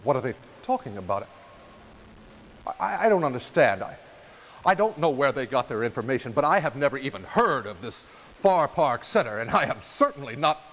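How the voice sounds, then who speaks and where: A flustered, swooping-voiced senator. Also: male voice, medium pitch, big pitch swings, a bit bright, rough recording. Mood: bewildered, alarmed. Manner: pleading, halting, flustered. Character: anxious, indignant male senator, weak and deferential. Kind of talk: dramatic video game cutscene acting. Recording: faint room noise, old game audio.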